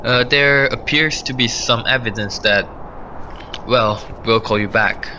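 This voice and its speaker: A man's voice: mid-range voice, measured pace, lo-fi sound, lightly acted. A man voicing a cautious state trooper in a school radio play, speaking earnestly and a bit hesitantly. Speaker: student actor. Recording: clear background noise.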